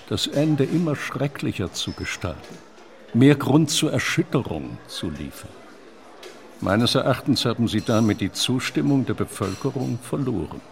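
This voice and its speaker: An earnest male theorist of imaginary operas, interviewed in a radio play. His delivery is formal and emphatic, with a lively, widely moving pitch at a middle register. He explains like a scholar who takes his schemes entirely seriously. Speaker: interviewed author.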